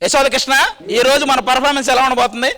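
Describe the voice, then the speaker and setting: Man, rapid-fire comic banter, very high pitch, TV dance show team leader, loud music. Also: flat delivery. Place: TV studio stage.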